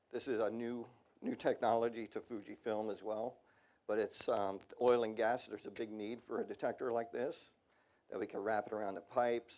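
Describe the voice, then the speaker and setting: A man's voice: on the low side, darkish tone. Man presenting at a technical seminar. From United States. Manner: casual, conversational, frequent ums and asides. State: voice slightly worn. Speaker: industrial radiography presenter.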